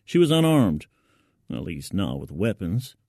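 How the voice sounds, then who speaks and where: A man's voice: deep voice, sing-song, measured pace, darkish tone, no echo, clean studio sound. A man narrating first-person fiction dramatically, in a gritty, colloquial storytelling manner.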